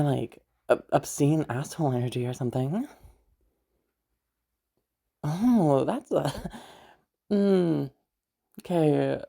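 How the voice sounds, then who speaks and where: A woman playing a kindly, teasing young stranger in an intimate audio roleplay, speaking casually and hesitantly with a husky, creaky voice. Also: deep voice, mellow, heavily acted. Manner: hesitant, chuckling often, casual swearing. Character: kindly, teasing young female stranger comforting someone upset. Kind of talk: improvised-sounding intimate audio roleplay, one side of dialogue.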